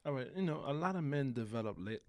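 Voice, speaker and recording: A man's voice: mid-range voice, lively intonation, fast. A man co-hosting a podcast, teasing and laid-back, laughing often. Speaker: podcast co-host. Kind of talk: casual chat.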